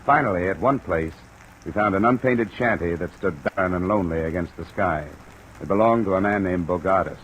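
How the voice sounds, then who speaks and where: An actor playing a brisk, businesslike insurance investigator who narrates and questions in hard-boiled old-time radio style. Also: male voice, sits low, lightly acted. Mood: dry, narrating. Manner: clipped, businesslike, dry. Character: cool, brisk male freelance insurance investigator. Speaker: lead character and narrator. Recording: muffled, faintly noisy old radio recording.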